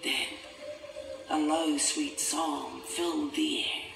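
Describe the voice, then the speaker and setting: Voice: mid-range voice, flat delivery, takes it slowly, vocal fry, noisy background. A narrator reading a children's adventure story in a scripted, dramatic style. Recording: echoey, distant, loud music behind. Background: loud film-score music.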